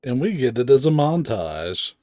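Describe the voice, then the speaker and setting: Animated man commenting on a game. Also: medium pitch, normal speed, dry recording, clean studio sound. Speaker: game streamer. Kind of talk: casual gameplay commentary.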